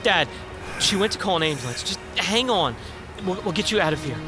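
A man's voice: high voice, lively intonation, fairly quick, over music. A male actor in an audio drama playing an anxious young man, a devoted son. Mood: panicked, urgent.

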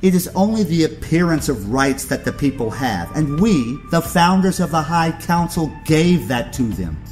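An actor playing a ruthless, contemptuous aristocratic uncle in an audio drama, speaking in a theatrical, condescending way. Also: male voice, fairly high pitch, sing-song, normal speed, darkish tone, faint music behind. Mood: fiery, imperious.

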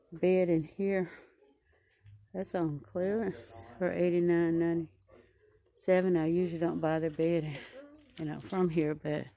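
A woman's voice: on the low side, vocal fry, dark tone. A woman shopping vlogger giving casual running commentary as she browses a large furniture showroom.

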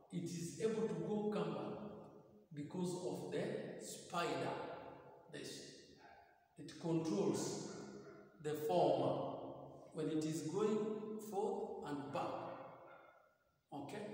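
A middle-aged man teaching at a whiteboard, explaining deliberately and repeating key phrases, recorded in a classroom. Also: on the high side, darkish tone. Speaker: physics teacher. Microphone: camera a few metres away. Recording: very echoey room, distant mic.

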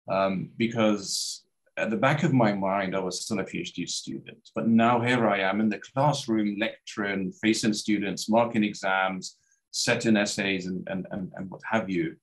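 Low-voiced man from Iraq, hesitant. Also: low-quality recording. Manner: hesitant, many restarts and fillers. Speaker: guest scholar. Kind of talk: casual interview over video call. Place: home study with bookshelves. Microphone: webcam on video call.

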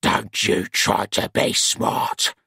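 A man's voice: takes it slowly, bright, forceful tone, no room sound, studio quality. A man voicing a grumpy, self-important older master in an audiobook, curt and scolding. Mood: irritated, pompous.

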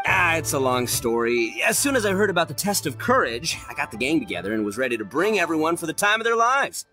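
A man's voice: on the high side, big pitch swings, fast, bright, forceful tone, faint music behind. A man voicing a boastful, boyish oni gang leader in a video game, with brash, slangy, theatrical swagger. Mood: indignant, boastful. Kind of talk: animated video game character acting.

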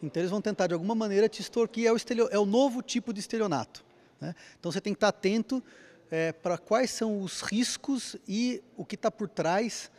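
Fast-talking man explaining to an interviewer. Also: high-pitched, a little mellow. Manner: explanatory, rapid, repeats "né?". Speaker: IT security company speaker. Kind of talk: interview answers. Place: large indoor event hall. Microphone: handheld mic.

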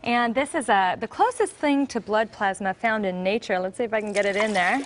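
A woman in her thirties, a guest chef, explaining recipes in a brisk, upbeat way during a cooking demonstration in a TV news studio, on a lapel mic. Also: mid-range voice. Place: TV news studio kitchen set.

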